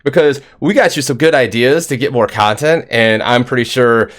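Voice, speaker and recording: A man's voice: mid-range voice, moderate pace. A man hosting a casual interview podcast, talking in a loose, rambling way with lots of fillers. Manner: rambling, filler-heavy, upbeat. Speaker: podcast host.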